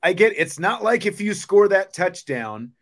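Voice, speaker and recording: A man's voice: sits high, big pitch swings, unhurried. A man hosting a sports show in a conversational way, chatting casually and putting questions to his co-host.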